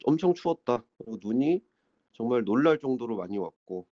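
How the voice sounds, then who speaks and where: Fast-talking mellow man, dull recording. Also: mid-range voice, very animated, dry recording. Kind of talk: casual recollection in conversation. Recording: dry, dull lo-fi sound.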